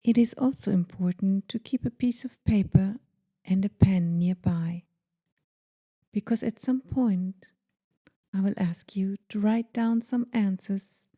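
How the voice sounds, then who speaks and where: Very dark, soft-toned slow woman guiding meditation. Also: mid-range voice. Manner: soothing, deliberate, gentle delivery with pauses. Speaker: meditation guide. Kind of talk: guided meditation.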